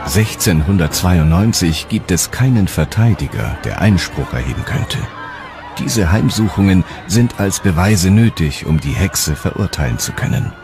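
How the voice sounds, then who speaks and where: A man's voice: sits low, lively intonation, normal speed. A man reading scripted historical narration for a documentary. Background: music.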